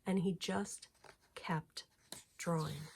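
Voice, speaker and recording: A woman reading a children's picture book aloud in an expressive storytelling manner, phrasing clearly for young listeners. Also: fairly low pitch, slow pace, a little hiss or hum.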